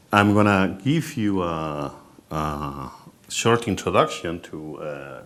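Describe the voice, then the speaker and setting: A male physics lecturer with a low, deep voice and a foreign accent introduces a topic to a general audience. He explains it step by step in the style of a public lecture. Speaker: university physics lecturer on a panel.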